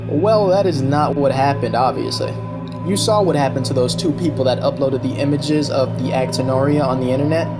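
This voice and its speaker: A man gives casual, excited commentary as a video host, speaking straight to the viewer. His phrasing is informal and conversational, with a mid-pitched voice. Loud music plays behind him throughout. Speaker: video host reviewing a horror series. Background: loud background music.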